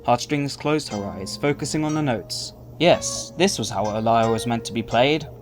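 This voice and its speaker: A male narrator tells a scripted story. His voice sits at a middle pitch, with faint music underneath. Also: animated, moderate pace.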